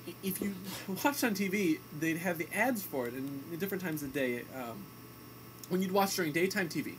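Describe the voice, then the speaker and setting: A man's voice: a little high, animated, brisk, low-quality recording. A young man co-hosting a radio show, chatting casually about movies with opinionated, jokey banter. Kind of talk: casual movie review chat. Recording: amateur recording with background noise.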